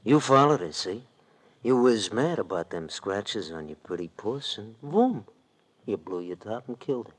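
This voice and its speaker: An actor playing a sly, slangy American gangster thug in a menacing 1940s radio drama style. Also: male voice, on the low side, sing-song, normal speed. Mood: taunting. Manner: slangy, ungrammatical tough talk. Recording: vintage radio drama recording.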